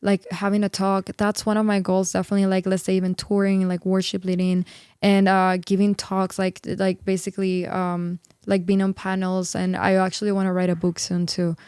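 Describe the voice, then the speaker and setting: A woman in her twenties from Peru talks as a guest in an earnest, upbeat way. She fills her speech with "like" and "you know." Her voice is smooth and clear, and her tone stays fairly even. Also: mid-range voice, moderate pace, no room sound, clean studio sound. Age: 20s. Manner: earnest, upbeat, filler-heavy with many "like"s. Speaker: singer and worship leader guest. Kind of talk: casual interview chat.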